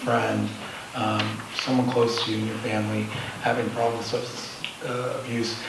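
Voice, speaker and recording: A man answering as a panelist in a public discussion, speaking haltingly with many pauses. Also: on the low side. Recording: distant mic, echoey room, noisy.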